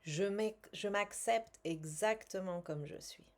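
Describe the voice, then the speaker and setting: A woman coaching a guided tapping exercise in short, measured, instructional phrases with pauses and repeated statements. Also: medium pitch. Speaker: tapping coach and language teacher.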